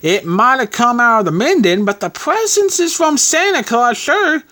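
Storybook landlady, played by a man: high pitch, very animated, folksy, coy, gushing, rustic grammar. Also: normal speed, full character voice. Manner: rustic ungrammatical speech. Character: gushing, folksy middle-aged landlady, coy and easily flustered.

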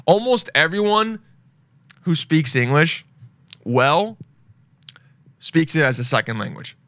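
Jokey rambling young podcast host. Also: male voice, on the high side, very animated, slow pace, long pauses, a bit bright, no echo. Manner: rambling, jokey, reads reviews aloud. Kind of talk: casual solo podcast rambling.

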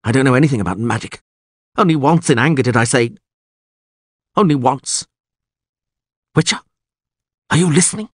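A man's voice: mid-range voice, animated, moderate pace, pauses often, dry recording, clean studio sound, pitched above the performer's own voice, lightly acted. A man voicing an agitated, haughty nobleman in an audiobook, with a strained, raised voice. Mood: anxious, pleading. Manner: sneering, blustering, agitated. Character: haughty, furious nobleman, frightened and pleading when bound. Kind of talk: audiobook character acting.

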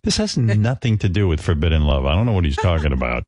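A man's voice: low-pitched, big pitch swings, quick pace, darkish tone, no room sound. A man hosting a comedy podcast, making sarcastic, mocking remarks about the clips. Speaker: podcast host.

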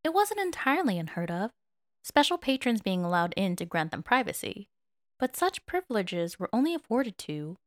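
A woman's voice: mid-range voice, sing-song, moderate pace, no room sound, studio quality. A woman narrating fan fiction as a dramatic audiobook.

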